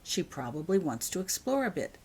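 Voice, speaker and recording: A woman's voice: fairly low pitch, sing-song, normal speed, a little mellow, husky, heavily acted. A woman voicing a kindly, practical, reassuring mother in a storybook reading.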